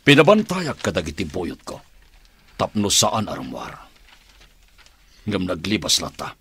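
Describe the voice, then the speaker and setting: An actor playing a scheming villain boss in an Ilocano radio drama, with a husky voice. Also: male voice, deep voice, huge pitch swings, unhurried, a bit bright, full character voice. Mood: grim, defensive.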